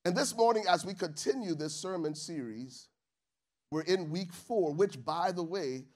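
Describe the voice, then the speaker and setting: A man from Liberia preaching a sermon to his church congregation, calling for responses and swinging from soft, low asides to shouted peaks. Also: a little slow. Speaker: pastor. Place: church stage with live congregation.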